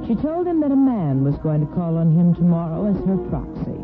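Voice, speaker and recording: A woman's voice: on the low side, sing-song, fairly quick. A woman narrating a 1950s radio soap opera in scripted, dramatic storytelling style. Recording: tinny old radio broadcast with music.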